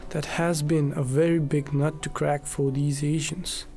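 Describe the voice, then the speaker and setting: A man reading scripted documentary narration in an earnest, measured way. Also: on the high side, level tone, warm tone, faint music behind.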